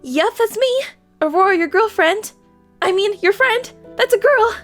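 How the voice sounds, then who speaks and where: A woman's voice: very high voice, expressive, measured pace, a bit bright, soft background music, exaggerated voice. A woman playing a flustered, lovestruck young cartoon pony princess, stammering and gushing in an audio drama. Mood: flustered, smitten.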